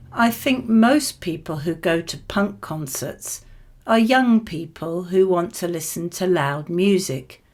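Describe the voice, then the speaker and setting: Slow, measured woman reading model answers. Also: fairly low pitch. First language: English. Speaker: language tutor reading model exam answers. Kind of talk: scripted spoken model answer.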